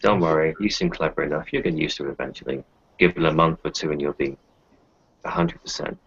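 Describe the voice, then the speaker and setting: A man with a gravelly, husky voice, playing a blunt but reassuring character in a werewolf roleplaying game. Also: extremely low pitch, fast talker, a bit bright, highs cut off, heavily acted. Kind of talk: improvised in-character tabletop roleplay.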